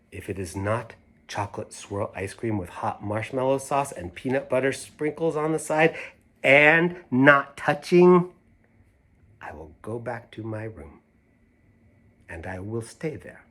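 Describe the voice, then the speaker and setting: A woman's voice: very deep voice, lively intonation, takes it slowly, pauses often, fairly bright tone, full character voice. A man voicing a sulky, indignant little girl from a storybook, making pompous, emphatic declarations. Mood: grudging, haughty.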